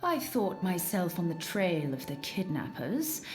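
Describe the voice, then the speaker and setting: A woman voicing an earnest, shrewd scholar-adventurer in a fantasy video game, with formal, deliberate, musing speech. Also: lowish voice, very animated, darkish tone, faint music behind. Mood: musing, resolute.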